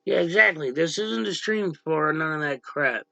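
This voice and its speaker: A man hosting a casual livestream with a gravelly voice, talking bluntly to viewers and snapping at chatters who annoy him. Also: fairly high pitch, expressive, normal speed, sharp tone, dry recording. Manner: blunt, irritated, snaps at chatters. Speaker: livestream host. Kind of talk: casual livestream chat reading viewer comments. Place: dim room at home, power out.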